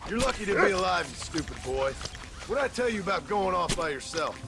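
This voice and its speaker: An actor playing a gruff, stern Western rancher and father in a game, blunt and sardonic, with an American frontier drawl. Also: high-pitched, lively intonation, brisk, over loud music. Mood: alarmed scolding. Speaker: lead character in a game. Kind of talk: dramatic game cutscene acting.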